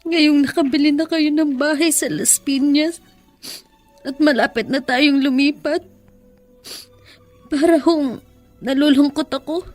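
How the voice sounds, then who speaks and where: An actress playing a grieving, bitter young daughter in a melodramatic radio drama, tearful and anguished. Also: female voice, sits high. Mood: tearful longing.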